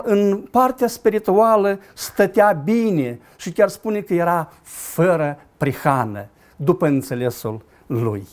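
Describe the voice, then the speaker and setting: A man in his sixties, a pastor, preaching a studio sermon from a lectern, swinging between loud climbs and quiet drops. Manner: dramatic preaching with wide swings in pitch and loudness. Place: studio with dark backdrop, at a lectern.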